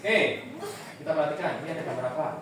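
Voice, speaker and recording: A woman's voice: low voice, lively intonation, rapid-fire. A woman with a Tegal Javanese accent leads schoolchildren through an interactive read-aloud story, prompting them with questions in a teacherly way. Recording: echoey noisy hall, distant microphone.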